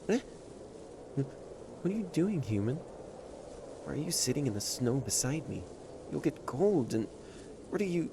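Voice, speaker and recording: A low-pitched, proud stray catboy, acted by a man speaks straight to the listener in an emotional audio roleplay. He is haughty and halting, a defensive tsundere front over loneliness. Clear noise sits behind the voice. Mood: flustered, stoic.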